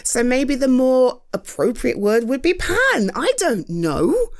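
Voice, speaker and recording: A British woman in a roleplay, talking frankly and reassuringly to a friend, with halting restarts and a close studio mic. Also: sits high, sing-song. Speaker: audio roleplay performer.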